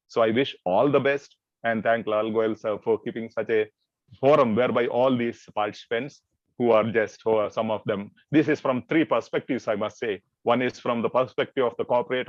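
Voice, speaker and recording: A man speaks as a teacher on a panel, earnest and advisory as he works through his points one by one. His voice sits at an ordinary pitch, and the audio is dry and muffled, like an online call. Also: no room sound. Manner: earnest, advisory, uses numbered principles. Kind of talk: panel talk on a video call.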